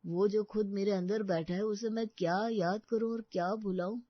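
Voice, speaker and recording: A man's voice: high-pitched, fairly even intonation, moderate pace, no room sound. A heartbroken young man, voiced by a male actor, melodramatic and brooding. He speaks like a self-pitying lover in an audio story. The sound is dry and a bit dull. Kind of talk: dramatic audio story acting.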